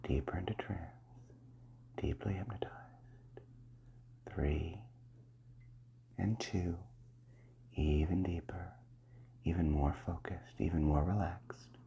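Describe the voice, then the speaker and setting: A man giving a slow, soft and commanding hypnosis roleplay in the ASMR style, often dropping to a half-whisper. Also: rumbling low, vocal fry, slight background noise.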